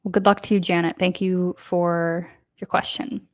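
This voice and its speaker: A woman's voice: on the low side, flat delivery, normal speed, no echo, clean studio sound. Chatty woman hosting a livestream. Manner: chatty, rambling, many fillers. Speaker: host. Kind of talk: casual livestream chat.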